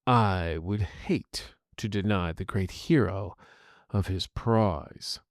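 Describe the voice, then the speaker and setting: A man voicing an aging wizard villain in a fantasy story, speaking formally with proud, measured scorn. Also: low-pitched. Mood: scornful.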